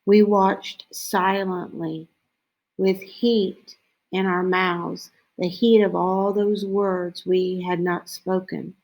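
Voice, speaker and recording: A woman reading a children's story aloud in a deliberate way, with frequent pauses between short phrases. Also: on the low side, level tone, a little mellow, poor audio. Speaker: storybook reader.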